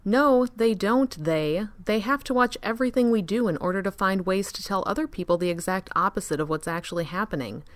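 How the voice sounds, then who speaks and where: A woman acts a probing, conspiratorial interviewer in a comic radio play. The voice is medium in pitch, knowing and questioning, with no room echo. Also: a little fast, hardly any pauses, natural voice. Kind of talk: comic radio drama acting.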